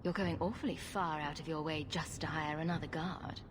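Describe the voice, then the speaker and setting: A woman's voice: deep voice, fairly even intonation, normal speed, background noise, close to an everyday voice. An actress playing a guarded young adventurer woman in a video game, questioning in a cool, dry manner. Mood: skeptical. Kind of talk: video game cutscene dialogue. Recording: music behind the voice. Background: background music.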